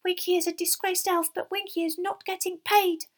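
A woman voicing a small, indignant female house elf servant for a storybook, squeaky and shrill, speaking in servile broken grammar. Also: little pitch movement, moderate pace. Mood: indignant, furious. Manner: wailing, broken servile grammar, third-person speech.

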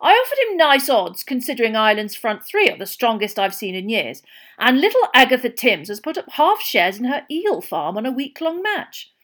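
Woman reading as a boisterous hearty man, loud and pleased, higher than her own voice. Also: very animated, moderate pace. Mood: eager. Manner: loud, jovial, booming. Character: boisterous hearty male sports official.

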